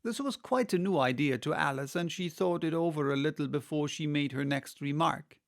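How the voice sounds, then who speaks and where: A man narrating a storybook as an audiobook reader, expressive and unhurried. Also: fairly high pitch, gravelly.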